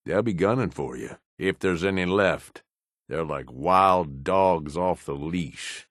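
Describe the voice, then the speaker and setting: A man acting as a middle-aged raider lieutenant in a video game, gruff and blunt, with a world-weary, pragmatic manner and rough slang. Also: low voice, takes it slowly, a bit bright, no echo, studio quality. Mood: wary. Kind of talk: video game character dialogue.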